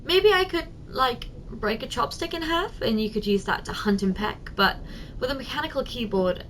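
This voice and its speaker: Giant female secretary played by a woman, ASMR roleplay: higher-pitched, soft, tender and teasing toward her tiny boss, noisy ambient background. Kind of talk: ASMR roleplay, addressing the listener directly.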